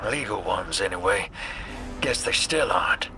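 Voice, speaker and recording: Creaky-voiced cynical gambler rogue. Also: male voice, sits low, lively intonation, moderate pace, bright, forceful tone, faint music behind, heavily acted. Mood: wry, defiant. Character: gruff, wry gambler rogue, bold and cynical. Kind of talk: video game cutscene acting.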